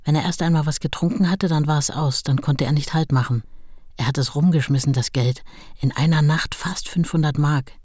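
Matter-of-fact wife, low voice. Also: female voice, fairly even intonation, a little fast, darkish tone, no echo, clean studio sound. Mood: sober, rueful. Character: sober, plain-spoken writer's wife looking back. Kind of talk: radio play, reminiscing narration.

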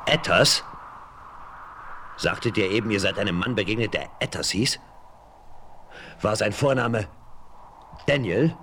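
Very deep-voiced, vengeful frontier westerner. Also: male voice, unhurried, pauses often, a bit bright, a little hiss or hum. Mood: urgent, probing. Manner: intense, emphatic, dramatic. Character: brooding, vengeful frontier westerner hunting his enemy. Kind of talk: German radio play acting.

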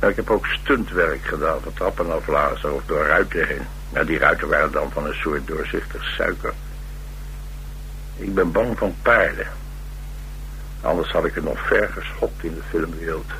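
An actor playing a rough, hard-drinking drifter and former mechanic in a radio drama, speaking tersely with dry, slangy nonchalance. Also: male voice, a bass voice, normal speed, sharp tone, exaggerated voice, low-quality recording. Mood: wry, nonchalant. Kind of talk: naturalistic Dutch radio drama acting. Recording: radio recording with background hiss and hum.

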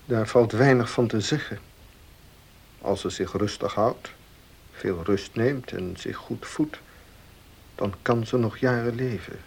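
Grave family doctor for period radio drama: gravelly, husky, formal and measured. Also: male voice, fairly low pitch, exaggerated voice. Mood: sober, advising.

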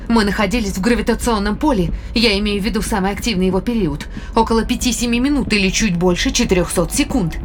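A woman's voice: mid-range voice, background noise, natural voice. A woman playing a sceptical, demanding spaceship crew member in an audio drama, questioning with precision.

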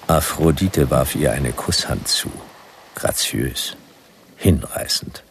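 An actor playing a hard-boiled detective who narrates his own story in clipped, deadpan noir radio drama style, with a gravelly voice. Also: rumbling low, takes it slowly, a little hiss or hum, full character voice. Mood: dry, laconic. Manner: deadpan, dry.

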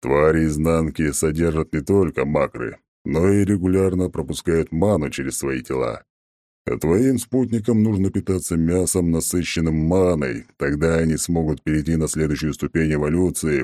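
Brief: male narrator doing a haughty ancient tree god, extremely low and dark-toned, drawling, dry close sound. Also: no room sound. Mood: lecturing. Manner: haughty, mocking, slow and drawn-out. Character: smug arrogant male oak-tree god. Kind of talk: audiobook character voice.

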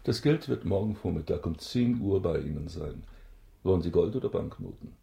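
Mellow, pompous banker baron, roomy sound. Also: male voice, low voice, lively intonation, normal speed, gravelly, full character voice. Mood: deferential. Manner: formal, pompous, deferential. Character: self-important banker baron, stiffly obsequious to a richer client. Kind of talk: German radio drama acting.

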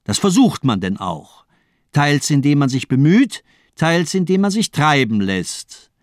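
An actor playing a cold, cynical doctor villain in a radio crime drama, speaking eloquently with mocking elegance. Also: male voice, fairly high pitch, sing-song, no room sound, studio quality. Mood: scornful lecturing. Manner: eloquent, playful, mocking, deliberate monologuing.

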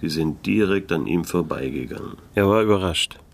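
Low-voiced, wry noir private eye. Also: male voice, lively intonation, moderate pace. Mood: cool, probing. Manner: laconic, dry, world-weary. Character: wry, disillusioned male private detective narrating his case. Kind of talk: German radio play, noir narration and dialogue.

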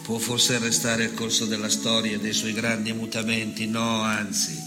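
An actor playing an unrepentant, bitter fascist nostalgic in a declamatory, sardonic theatre monologue. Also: male voice, fairly low pitch, completely flat delivery, moderate pace, close to an everyday voice. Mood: fervent, defiant. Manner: declamatory, sardonic, dramatic swings between murmur and outburst. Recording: reverberant theatre hall with music.

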